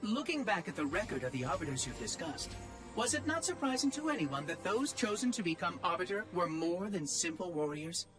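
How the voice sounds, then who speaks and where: High-pitched, fussy game AI. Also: male voice, sing-song, fairly quick, music in the background. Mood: slyly probing. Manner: precise, prim, patronising phrasing. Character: fussy, condescending male artificial intelligence machine, sly and verbose. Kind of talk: video game cutscene acting.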